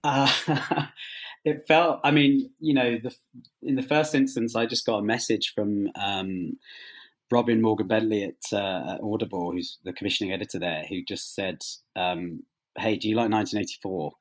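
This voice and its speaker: A man with a fairly low, husky voice answers as an interview guest. He talks conversationally and comes across as modest and self-effacing. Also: fairly even intonation, moderate pace. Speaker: interview guest, audio drama writer.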